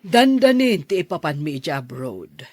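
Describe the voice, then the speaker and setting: Man acting elderly landowner, higher-pitched voice. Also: very animated, normal speed, no room sound, studio quality. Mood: matter-of-fact. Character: elderly plantation owner and grandparent planning to emigrate. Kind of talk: audio drama acting.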